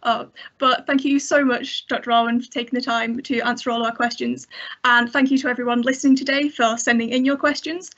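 Young woman, host, higher voice, headset mic, muffled call audio. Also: steady tone, brisk, a bit bright. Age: young adult. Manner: friendly, reads closing announcements. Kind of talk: hosting online event. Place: indoors in a bedroom. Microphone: headset on video call. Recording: dull lo-fi video call.

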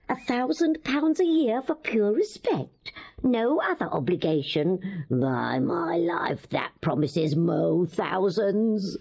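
Husky, teasing old court lady. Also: mid-range voice, a little mellow, highs cut off, heavily acted. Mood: gleeful mocking. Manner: teasing, mocking, worldly. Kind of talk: classical Shakespearean radio drama acting.

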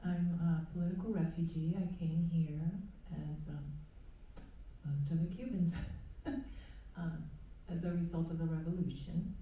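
A woman from Cuba on an art panel, speaking in a measured, unhurried way. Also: lowish voice, fairly even intonation, recorded from a distance, phone-quality sound. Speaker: panelist artist. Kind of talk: personal panel talk. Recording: thin, echoey, from afar.

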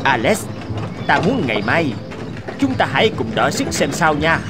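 A man's voice: on the high side, sing-song, moderate pace. An actor playing a proud, competitive king in a fairy-tale cartoon, with an imperious, boastful manner.

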